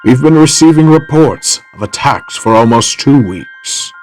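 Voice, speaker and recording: A man's voice: mid-range voice, lively intonation, measured pace, faint music behind, natural voice. A man playing a learned, grave older professor with a formal, scholarly manner in a fan audio drama.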